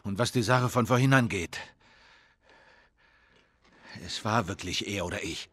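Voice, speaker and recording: A man voicing a gruff, guarded middle-aged survivor in a dramatic video game, terse and protective. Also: fairly low pitch, measured pace, a bit bright, slight background noise. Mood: serious, instructing.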